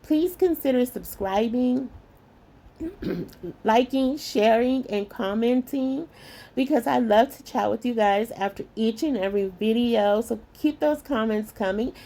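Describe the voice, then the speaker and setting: A middle-aged woman hosting a craft channel, narrating a tutorial in a chatty way with a slightly hoarse voice and calling her viewers lovies. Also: on the high side, a little slow. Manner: warm, chatty, calls viewers lovies and y'all.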